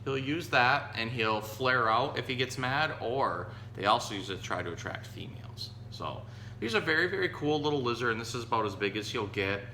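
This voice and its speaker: A man's voice: medium pitch, animated, fairly quick, pushed and bright. A man hosting as a zookeeper, explaining animals in casual, educational talk. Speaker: zookeeper host. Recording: echoey room with faint hum.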